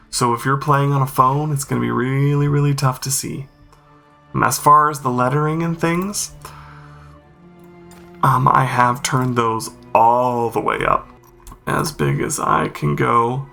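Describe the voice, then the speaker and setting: A man's voice: mid-range voice, vocal fry, sharp tone. A man hosting a game accessibility review, explaining things informally and thinking aloud as he goes. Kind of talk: informal spoken walkthrough and review commentary.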